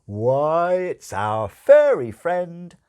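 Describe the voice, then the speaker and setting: A man's voice: on the high side, wildly swooping pitch, extremely slow, warm tone, smooth, voice pushed up, full character voice. A man voicing a genteel, fussy, old-fashioned male angel in an audiobook, with a prim, fluttery, theatrical delivery. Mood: hammy showmanship.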